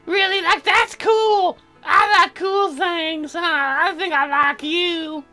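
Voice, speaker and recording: A man's voice: very high-pitched, fairly even intonation, sharp tone, faint music behind, pitched above the performer's own voice, exaggerated voice. A man voicing a ditzy, bubbly young woman colonist in a visual novel game, airheaded and drawing out her words. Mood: ditzy, eager. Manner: airheaded, drawn-out words with many likes.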